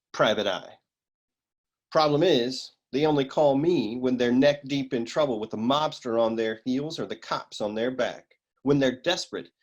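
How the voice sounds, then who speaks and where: A man acts out a hard-boiled private detective in a noir radio play. He is down on his luck yet full of himself, delivering his monologue with dramatic self-importance at a middling male pitch. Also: natural voice.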